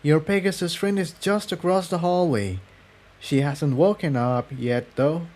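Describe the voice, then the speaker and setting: A man acts out a little female pegasus from a fantasy storybook. The voice is lifted high and kept quiet. It is gentle, calm and reassuring. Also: a little slow, lightly acted.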